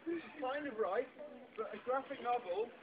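Guy w really high voice presenting to kids, encouraging, asks questions, tinny audio, super noisy crowd. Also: lively intonation, moderate pace. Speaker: publisher's presenter introducing a show. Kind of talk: explaining to children. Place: public book festival event with children. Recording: tinny lo-fi phone video, loud crowd.

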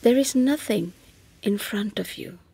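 A woman narrating a scripted guided meditation softly and gently, often close to a whisper, with long unhurried pauses. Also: medium pitch, slight background noise. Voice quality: soft, breathy, often near whisper.

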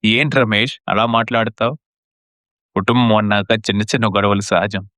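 A conciliatory, selfless elder brother played by a man in a family story. His voice is lowish and recorded very dry. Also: fairly even intonation, normal speed, pushed and bright, no room sound. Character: mild, self-sacrificing, peace-making elder brother.

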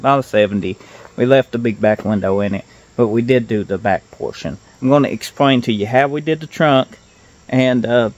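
A man's voice: medium pitch, darkish tone. A middle-aged man giving a casual hands-on walkthrough in a plain-spoken, folksy way, recorded outdoors on a handheld phone. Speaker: car restoration vlogger. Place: outdoors in a grassy gravel yard. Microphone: handheld phone at arm's length.

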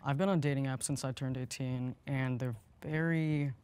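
A man's voice: mid-range voice, fairly even intonation, normal speed, a little mellow, clear, resonant tone. A young man on a show panel, speaking earnestly and a bit hesitantly. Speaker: contestant on a video show. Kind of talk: casual chat on a show panel.

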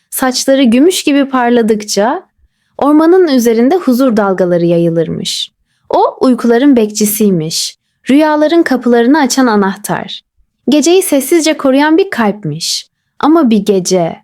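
A woman narrating a children's bedtime story in a soft, gentle, lilting way. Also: fairly high pitch, dry recording, clean studio sound.

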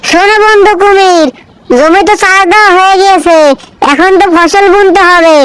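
A performer voicing a cunning, smooth-talking cartoon fox who coaxes with false friendliness. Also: very high voice, fairly even intonation, normal speed, a bit bright, faint music behind, rough recording. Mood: coaxing, friendly. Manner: coaxing, wheedling, falsely friendly. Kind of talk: children's folk-tale cartoon dialogue.

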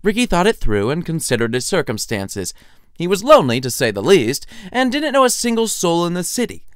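A man's voice: on the high side, huge pitch swings, brisk, smooth, dry recording, studio quality. A man narrating a scripted story in a playful, wry, theatrical storytelling manner. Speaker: narrator and author.

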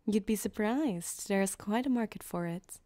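Woman acting a soft, slow, flirty cyberpunk back-alley surgeon woman. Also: mid-range voice, no echo, studio quality, lightly acted. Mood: coaxing, sly. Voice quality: soft, breathy, often slipping into whispers. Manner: teasing, unhurried, intimate, giggly. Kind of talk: ASMR roleplay. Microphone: close binaural microphone, dry.

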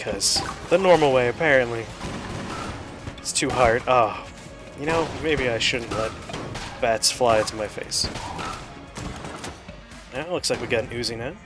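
A man's voice: medium pitch, a little slow, long pauses. A man hosting a casual let's-play, talking in a playful, self-deprecating way. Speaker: show host, gamer. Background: music and game gunfire.

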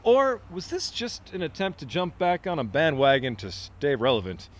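A man in his thirties with a rather high, very animated voice that swings through its range. He stumbles over words in the sketch bits and speeds through upbeat sports banter, recorded outdoors on a handheld mic. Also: normal speed, no echo. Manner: hesitant, stammering in the sketch, upbeat presenter patter as host. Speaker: comedy show co-host. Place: outdoors in snow beside a stadium.

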